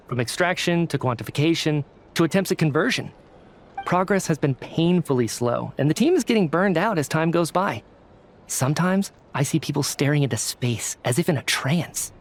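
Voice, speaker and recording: A man's voice: fairly high pitch, normal speed. A man playing a weary, affable government researcher in a video game, polite and somewhat hesitant. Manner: polite, hesitant, sighing, expressive.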